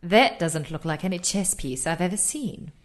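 A woman's voice: on the low side, expressive, normal speed, heavy background noise, close to an everyday voice. An actress playing a poised, coolly clever female spy in a radio theatre drama, with an arch, inquisitive manner. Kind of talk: scripted radio theatre acting.

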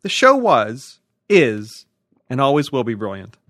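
A man's voice: medium pitch, wildly swooping pitch, unhurried, a bit bright, no echo. Male co-host, dry casual podcast banter. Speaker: podcast co-host reading listener questions.

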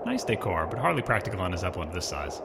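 A man's voice: sits low, very animated, very fast, creaky, heavy background noise. A man delivering comic banter in a group rehearsal. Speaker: performer in comedy rehearsal.

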